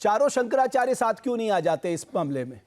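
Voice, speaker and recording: Fast talking guy running a tv debate, really high voice, cuts people off. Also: sing-song, darkish tone. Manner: brisk, cutting in to move on. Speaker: debate anchor. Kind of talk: moderating TV debate.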